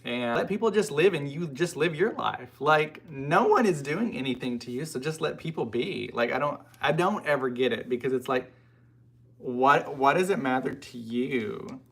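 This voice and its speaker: An American man with a mid-pitched voice and plenty of gravelly creak talks to his livestream audience. His delivery is informal and chatty. He rambles along, often breaking off into asides. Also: expressive, moderate pace, a bit bright. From United States. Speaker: livestream host. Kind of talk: casual live chat, answering and reading out viewer comments.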